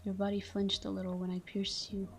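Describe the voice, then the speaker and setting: A woman playing a tender, melancholy vampire lover in an intimate ASMR monologue, speaking softly and slowly in a breathy half-whisper, close to the microphone. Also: medium pitch, completely flat delivery, close to an everyday voice. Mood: hushed, reminiscing. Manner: slow, hushed, wistful delivery. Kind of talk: intimate ASMR sleep-aid roleplay monologue. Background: soft background music.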